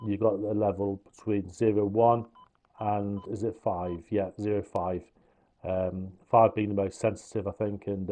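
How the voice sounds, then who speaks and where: A man's voice: on the low side, warm tone. A man explaining how a gadget works step by step, in an informal hands-on review style. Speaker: product reviewer.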